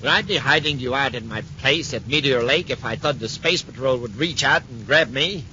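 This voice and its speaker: Nervous henchman, sharp forceful tone, defensive, deferential, 1950s radio villain's sidekick, faint hiss. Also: mid-range voice, normal speed. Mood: defensive pleading. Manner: pleading, placating. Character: anxious male henchman, deferential, defensive underling. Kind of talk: 1950s radio serial acting. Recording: dull, faintly noisy 1950s radio recording.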